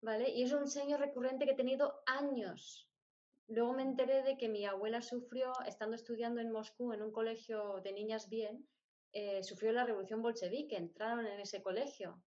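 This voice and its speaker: A woman's voice: a little high, fairly even intonation, moderate pace, dark tone. A middle-aged woman telling personal stories as an interview guest, in short phrases that she often repeats for emphasis. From born in Spain, grew up moving between countries. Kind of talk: personal storytelling in conversation. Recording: dull, lo-fi audio.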